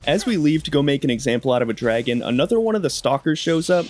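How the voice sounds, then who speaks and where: A man's voice: on the high side, big pitch swings, quick pace. A young man giving casual, comedic narration of his gameplay in a wry, slangy way. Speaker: gaming video creator.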